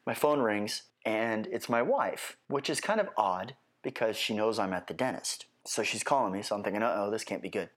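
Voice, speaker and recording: A nasal-sounding man getting over a head cold, hosting his solo podcast with rambling, wry asides. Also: on the low side. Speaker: podcast host.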